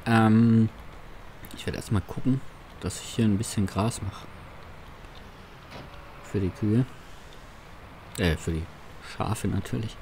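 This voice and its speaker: Casual male gamer, low mellow voice, faint hiss behind. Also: slow pace, pauses often. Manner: casual, businesslike in character. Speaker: farm sim roleplay player. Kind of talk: roleplay gameplay commentary.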